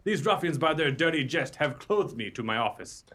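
A young king in a radio pirate spoof. His voice sits fairly high and swings freely as he declaims with courtly ardor and formal grandeur. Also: animated, moderate pace, a bit bright. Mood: solemn resolve. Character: noble young king revealed from disguise, courtly and ardent. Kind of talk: theatrical radio pirate comedy acting.

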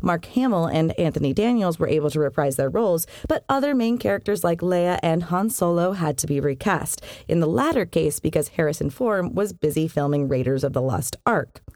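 A woman's voice: on the low side, animated, a little fast, few pauses, a little mellow, dry recording. A woman hosting a podcast, reading scripted narration with wry asides into a close microphone. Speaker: podcast host. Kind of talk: scripted narration of science fiction history.

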